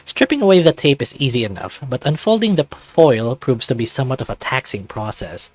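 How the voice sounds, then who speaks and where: A man reading a visual novel aloud as an animated narrator. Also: medium pitch, a little fast, no echo.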